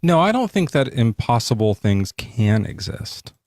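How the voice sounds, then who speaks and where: A man's voice: medium pitch, sing-song, a little mellow, no room sound, clean studio sound. A man hosting a casual late-night debate stream, joking and often restarting his sentences. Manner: joking, halting, many restarts. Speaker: debate show host.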